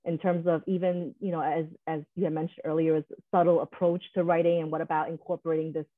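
A woman's voice: low voice, level tone, fast talker, no room sound. Young woman moderator on a tinny line. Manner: searching, filler-heavy. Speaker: panel moderator. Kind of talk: casual panel discussion. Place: small room, video call. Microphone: webcam on video call. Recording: dry tinny phone-like video call audio.

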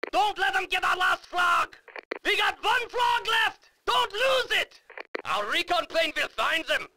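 A fierce German officer shouts battle orders for a war game in a strained, very high voice. His German accent is emphatic and fanatical, and his tone is piercingly bright. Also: slight background noise, exaggerated voice, poor audio. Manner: barking, emphatic, commanding. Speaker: game announcer. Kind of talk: shouted military game announcements.

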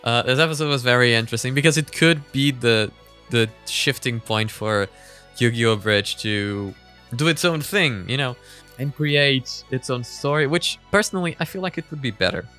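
Male reaction host, 20s, bright tone, halting casual delivery, close studio mic. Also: medium pitch, animated, soft background music. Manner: hesitant, halting. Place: small home room. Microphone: close studio mic on boom arm.

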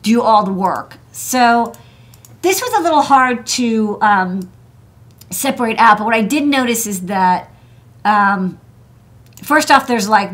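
A woman's voice: medium pitch, a bit bright. Chatty woman walking through parts. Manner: chatty, many fillers and restarts. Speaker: electronics engineer hosting a desk show. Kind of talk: casual technical walkthrough. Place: indoor workshop desk.

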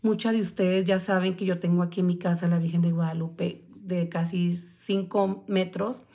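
A woman's voice: lowish voice, fairly even intonation, moderate pace, dark tone. A woman in her forties chatting casually on a live stream from a small room at home, answering viewer comments between her thoughts. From Colombia. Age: 40s. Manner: chatty, answers viewer comments as she talks, many fillers. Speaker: vlogger. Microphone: phone camera close up.

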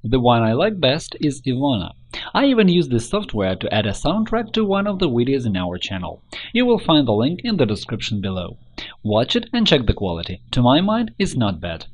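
A man presenting a software tutorial in scripted, step-by-step narration. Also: mid-range voice, wildly swooping pitch, normal speed, slight background noise.

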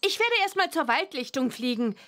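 A young cartoon witch girl, high and animated. She sounds cheerful, girlish and keen to get going, swinging up and down as she talks. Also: brisk. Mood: determined. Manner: bright, eager, girlish. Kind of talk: cartoon game voice acting.

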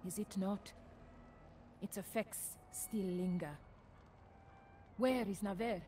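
An actress playing a solemn, steady mystic guide in a fantasy game, measured and ceremonial in delivery. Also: female voice, mid-range voice, lightly acted. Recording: clear background ambience noise.

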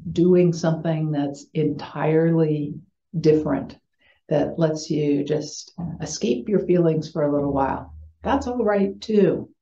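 An older American woman speaks as a chaplain to children, gently and simply. Her voice is soft-edged and mellow, and she takes her time. The sound is roomy, like a computer mic in a home room. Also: sits low. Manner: gentle, simple explanatory phrasing. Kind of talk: chapel talk to schoolchildren. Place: small home room with bookshelves. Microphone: computer mic on video call. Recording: roomy, dull video-call sound.

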